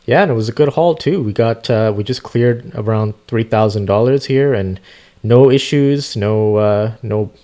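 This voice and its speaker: A man's voice: on the low side, mellow. A man chatting casually and unscripted as he plays a trucker in a game, easygoing and a little hesitant. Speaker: gaming host playing a trucker. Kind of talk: casual unscripted gameplay chat.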